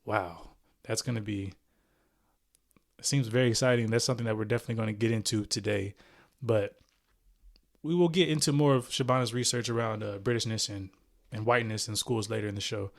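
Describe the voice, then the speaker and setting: A man's voice: on the low side, fairly quick, creaky. A man in his forties hosting a podcast, reading a guest's introduction in a chatty, playful way, with fillers and self-corrections. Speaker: podcast host.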